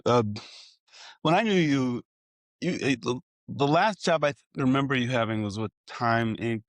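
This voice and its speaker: A man's voice: medium pitch, moderate pace, dry recording. A man interviewing a guest in a casual, slangy way, stumbling through his questions.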